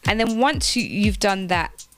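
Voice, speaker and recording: A woman's voice: medium pitch, unhurried, a bit bright, soft background music. A woman presenting informal careers advice on the radio, explaining things step by step and repeating phrases for emphasis. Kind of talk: informal instructional talk on job applications.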